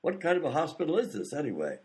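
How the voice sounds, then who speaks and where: A man's voice: fairly high pitch, wildly swooping pitch, fast talker, darkish tone, husky, exaggerated voice. A man playing a worried, exasperated visitor, insistent and incredulous, as the straight man in a radio comedy sketch. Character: exasperated, worried man pleading for his unconscious friend.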